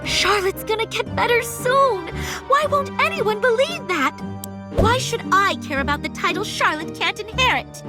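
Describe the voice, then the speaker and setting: A woman's voice: very high voice, moderate pace, over music. A woman playing a headstrong young noble girl, stubborn and devoted, in an anime-style game. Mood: defiant. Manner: animated, stubborn, emphatic.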